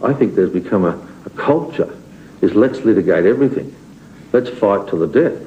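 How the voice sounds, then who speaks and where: A man in an interview making quick, wry remarks. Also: fairly low pitch, animated. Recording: tinny, thin sound with faint noise.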